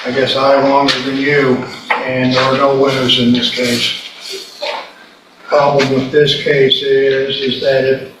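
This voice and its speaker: An older man making a halting, rambling, repetitive argument as a defense attorney in court. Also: medium pitch, monotone. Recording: very echoey, noisy, distant courtroom microphone.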